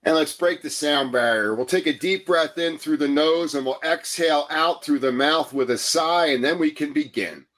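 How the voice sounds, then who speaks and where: A man hosting an interview, who also coaches and leads a guided breathing exercise, speaking in a calm, measured, soothing way. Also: a little high. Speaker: host, coach.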